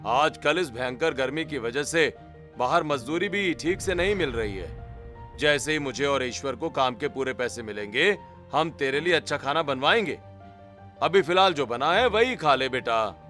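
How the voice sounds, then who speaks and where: A man as a patient parent, bright-toned. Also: medium pitch, animated, normal speed, soft background music. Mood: gentle, apologetic. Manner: patient, apologetic. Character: poor, gentle parent soothing a hungry child.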